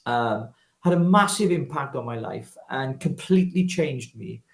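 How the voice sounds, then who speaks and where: A man's voice: mid-range voice, expressive, moderate pace, creaky. Welsh man in his fifties, echoey room. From Wales. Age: 50s. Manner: earnest, personal storytelling with many restarts. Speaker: guest. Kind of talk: casual interview talk.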